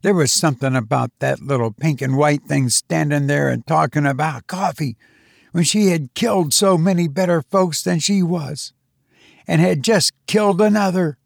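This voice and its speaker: A man reading a first-person story as an audiobook, in a folksy, expressive manner with rustic dialect phrasing. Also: fairly high pitch, vocal fry, dry recording, clean studio sound. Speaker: audiobook reader.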